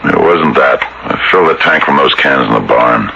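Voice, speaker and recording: An actor playing a fearful, worn-down married man in a radio drama, speaking in an anguished, halting way. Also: rumbling low, creaky, pushed and bright, slight background noise, exaggerated voice. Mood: cowed. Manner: anguished, halting, repeats himself. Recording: muffled old radio broadcast.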